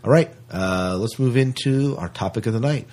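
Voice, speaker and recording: A man's voice: mid-range voice, normal speed, very noisy. A man hosting a podcast in casual chat, rambling along and often rephrasing himself. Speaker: podcast host.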